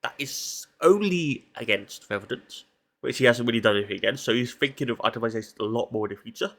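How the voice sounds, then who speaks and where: A man's voice: medium pitch, quick pace, fairly bright tone. A man with a blocked nose from hay fever, giving casual, analytical solo commentary on a recorded esports match. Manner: casual, analytical, thinking aloud.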